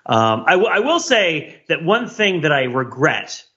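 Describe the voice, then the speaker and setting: Male podcast co-host, slightly high and bright, casual but mock-earnest, long rambling analysis, slightly muffled recording. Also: sing-song, measured pace. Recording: slightly dull, lo-fi audio.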